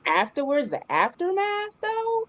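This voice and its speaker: A woman's voice: extremely high pitch, wildly swooping pitch, unhurried, fairly bright tone, no room sound. A woman co-hosting a casual live spiritual chat, laid-back and slangy in how she talks.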